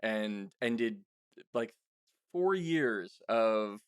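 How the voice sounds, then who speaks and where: A man's voice: fairly low pitch, animated, smooth, no echo, clean studio sound. A man hosting a podcast in a casual, conversational way, often stopping and restarting as he thinks aloud. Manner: halting, self-interrupting, thinking aloud. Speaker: podcast host and interviewer.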